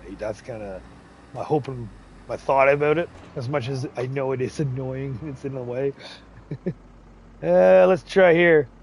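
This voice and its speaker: A man's voice: medium pitch, a little slow, a little mellow, a little hiss or hum. A man hosting a casual farming game livestream, chatting and laughing often as he reads chat aloud. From English, Irish, Scottish, Ukrainian and Romanian heritage. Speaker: livestream host.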